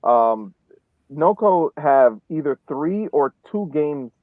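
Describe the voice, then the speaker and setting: A man chatting casually about sports as a podcast panelist, halting and repeating his words. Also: fairly high pitch, expressive, no echo. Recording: tinny, phone-like dry line.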